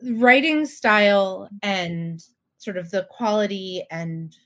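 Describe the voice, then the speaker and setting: A woman's voice: medium pitch, slow, fairly bright tone. A woman talking casually as a panelist and editor.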